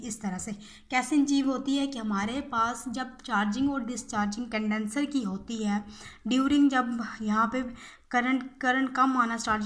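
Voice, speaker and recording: Young woman, high-pitched voice, informal teacher's explanation, repetitive, self-answered questions, English technical terms mixed in. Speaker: physiotherapy teacher.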